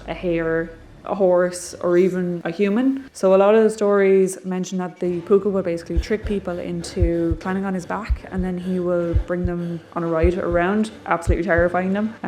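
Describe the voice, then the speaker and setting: A woman's voice: lowish voice, darkish tone. A young Irish woman telling folklore stories in a casual, chatty travel vlog, with lots of "like" fillers. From Ireland. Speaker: vlogger. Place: outdoors in countryside.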